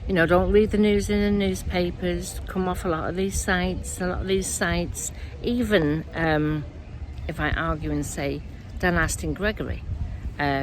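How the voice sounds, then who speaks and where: A woman speaking as a spiritual medium in a casual, earnest, rambling monologue, her voice croaky and dry. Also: low-pitched, noisy background. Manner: earnest, rambling, self-correcting.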